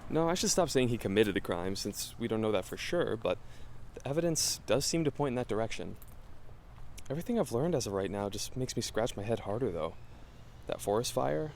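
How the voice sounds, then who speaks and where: An actor playing a curious, determined American investigator in an audio drama, questioning things in a thoughtful, earnest way. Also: male voice, mid-range voice, lively intonation, fairly quick, a little mellow, slight background noise, natural voice. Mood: puzzled, probing. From Los Angeles, United States. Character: curious, determined young male amateur investigator. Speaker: investigative podcast host. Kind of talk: naturalistic audio drama dialogue.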